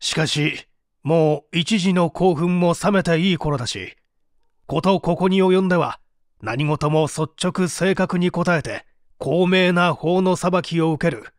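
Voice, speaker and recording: Radio drama prosecutor , played by a man: male, fairly high-pitched, courteous but probing, measured interrogation delivery, very dry sound. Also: no echo, natural voice. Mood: measured, formal. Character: composed, formal male public prosecutor questioning a suspect. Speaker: voice actor reading a radio drama. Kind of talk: dramatized mystery reading.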